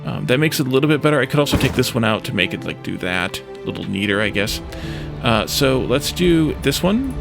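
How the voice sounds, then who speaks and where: A man's voice: medium pitch, big pitch swings, brisk, creaky, hardly any pauses. A man giving casual gameplay commentary, thinking aloud in a musing, tentative way as he hosts a let's play. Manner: musing, tentative, talking to himself. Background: music behind the voice.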